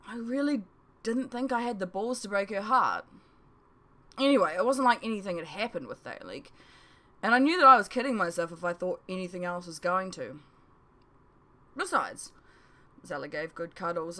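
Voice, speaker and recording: Female author-narrator with a lowish voice for first-person novel narration. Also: sharp tone. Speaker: author reading her own audiobook.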